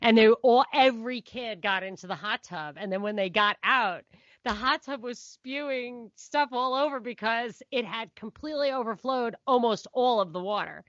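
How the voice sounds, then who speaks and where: A woman's voice: on the high side, normal speed, fairly bright tone, dry recording, dull audio. A middle-aged woman co-hosting a podcast, chatting casually and giving her opinions. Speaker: podcast co-host.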